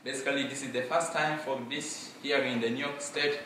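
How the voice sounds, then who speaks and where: A young man from Congo, a native Mashi speaker, giving an earnest student presentation in a library room with many filler pauses. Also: medium pitch, steady tone, sharp tone, slight background noise. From Democratic Republic of Congo. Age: young adult. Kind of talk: formal presentation to an audience. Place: library room with bookshelves, indoors. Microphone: far camera. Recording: echoey room, from far off.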